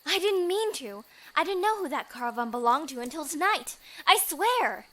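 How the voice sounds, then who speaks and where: A woman's voice: high voice, very animated, normal speed, bright, forceful tone, heavily acted. A young woman acting in an audio drama as an earnest, pleading bride-to-be who is secretly a bandit. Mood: distressed.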